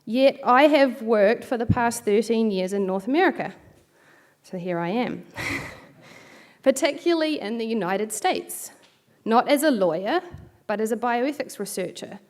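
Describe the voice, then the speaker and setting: A woman's voice: fairly high pitch, animated, unhurried. A middle-aged woman from New Zealand with a New Zealand accent, giving a reflective academic keynote with light self-deprecating asides. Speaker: keynote speaker. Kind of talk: academic lecture.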